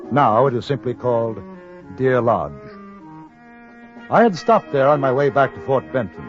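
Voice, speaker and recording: Actor as courteous English gentleman, vintage radio sound. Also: mid-range voice, lightly acted. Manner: polite, measured, literate. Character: courteous, reflective English newspaper correspondent in the West. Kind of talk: 1950s radio drama narration. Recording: dull old radio recording.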